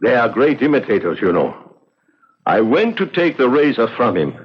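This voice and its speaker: An actor playing a poor, guilt-ridden man confessing in a 1970s radio drama, pleading and excitable as he justifies himself. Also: mid-range voice, moderate pace, husky. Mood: anguished. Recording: dull, lo-fi old radio recording.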